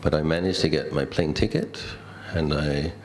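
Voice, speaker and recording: A middle-aged Australian man, a Buddhist monk, giving a conversational, reflective dhamma talk built on personal stories, with thoughtful pauses. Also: very deep voice, fairly even intonation, a little hiss or hum.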